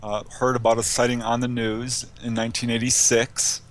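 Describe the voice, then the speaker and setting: A man of average pitch answers as an interview guest. His phrasing is informal and conversational, somewhat halting as he puts his thoughts together. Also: flat delivery. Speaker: interview guest, UFO investigator.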